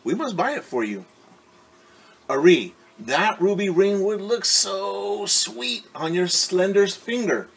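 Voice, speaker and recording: Guy doing a doting storybook parent, gushy and coaxing, voice pitched way up, slow swoopy delivery. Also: expressive, creaky, slightly rough, heavily acted. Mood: gushing. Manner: gushing, coaxing. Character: doting parents, gushing over their young daughter.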